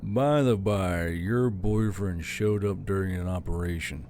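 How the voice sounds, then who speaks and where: A man's voice: low voice, measured pace, a little mellow, a little hiss or hum, pitched below the performer's own voice. A man voicing a weary, grumbly soldier who speaks tersely, for an audiobook drama. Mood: terse, dry. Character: tired, grumbly, gruff soldier called Yul. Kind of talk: audiobook character acting.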